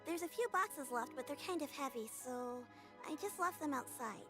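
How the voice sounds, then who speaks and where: A woman's voice: sits high, animated, normal speed, music in the background. An actress playing a shy, flustered young female apprentice in a video game, stumbling over her words with fillers. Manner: hesitant, fumbling speech with fillers.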